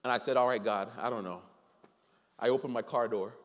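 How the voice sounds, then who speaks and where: A middle-aged man preaching a sermon as a pastor, telling personal stories with animated swings between loud pleading and quiet reflection. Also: mid-range voice, a little fast. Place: large church sanctuary, preaching from the stage. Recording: long hall reverb with faint music.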